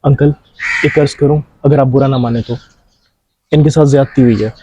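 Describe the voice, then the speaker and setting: A man's voice: mid-range voice, fairly even intonation, normal speed, warm tone, a little hiss or hum, close to an everyday voice. A man playing a righteous young man who speaks earnestly and preachily to his elders in an amateur moral drama. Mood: earnest, respectful. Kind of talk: amateur moral short-film acting.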